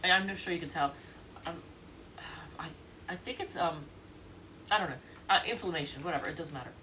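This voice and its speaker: A woman's voice: deep voice, a little fast, pushed and bright, recorded from a distance, slight background noise, poor audio. Congested woman giving a chatty tarot reading. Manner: chatty, rambling, thinks aloud with restarts. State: congested, stuffy nose. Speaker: tarot reader. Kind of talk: casual tarot reading to camera.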